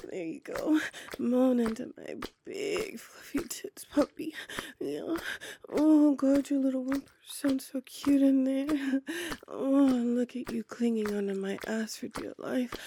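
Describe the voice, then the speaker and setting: A domineering female hellhound, voiced by a woman, purrs in a high, breathy half-whisper. Her tone is warm and mellow rather than bright, and she drags out every line slowly, teasing and commanding. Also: slight background noise. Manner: slow, sultry, domineering teasing. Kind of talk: intimate audio roleplay.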